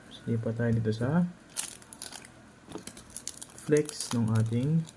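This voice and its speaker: A man's voice: mid-range voice, slow pace, dark tone, a little hiss or hum. A man with a Filipino accent narrating a hands-on repair tutorial as a technician, informal and instructive, often checking in with short tag questions.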